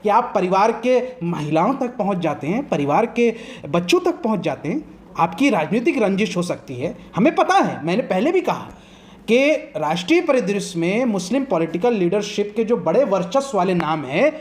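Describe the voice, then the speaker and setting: A man's voice: high-pitched, big pitch swings, moderate pace, darkish tone. A man arguing as a political commentator in a heated TV debate, impassioned and combative, firing off rhetorical questions.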